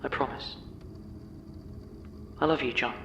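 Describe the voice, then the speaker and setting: A tender, steadfast man devoted to his beloved. He speaks at a middling pitch in an earnest, hesitant, gentle audio drama style. A light layer of noise sits behind the voice. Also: fairly even intonation, measured pace. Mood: tender, firm.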